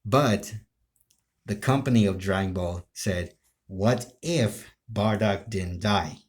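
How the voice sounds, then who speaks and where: A man telling a story casually, in halting, broken phrases with frequent restarts. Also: on the low side. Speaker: amateur video creator telling his role-play ideas.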